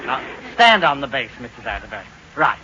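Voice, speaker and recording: An actor playing a talkative, self-important family-man neighbor in an old radio sitcom, husky-voiced and folksy. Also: mid-range voice, very animated, a little fast, pushed and bright, dull audio, exaggerated voice. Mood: instructing. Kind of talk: 1940s-50s radio sitcom acting.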